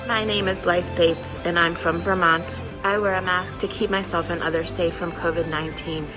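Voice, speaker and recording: A woman's voice: lowish voice, steady tone, normal speed, poor audio. Woman, school staff, sincere personal testimony; music bed. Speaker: school worker. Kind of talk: public health message. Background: music underneath.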